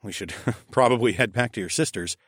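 A man's voice: lowish voice, fairly quick, fairly bright tone, husky, dry recording, studio quality. A man voicing a determined, eager male fantasy leader in audiobook dialogue. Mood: amused.

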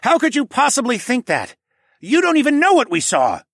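A man's voice: very high voice, wildly swooping pitch, moderate pace, fairly bright tone, no room sound, clean studio sound, voice pushed up, full character voice. A man voicing an indignant, commanding male snow leopard demi-human of royal rank in a fantasy audiobook. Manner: demanding, snapping.

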